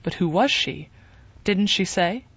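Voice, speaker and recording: A woman's voice: medium pitch, animated, a little slow, fairly bright tone, slightly rough, dry recording, muffled recording, full character voice. Vrouw speelt kalme, redelijke jongeman. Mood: calm.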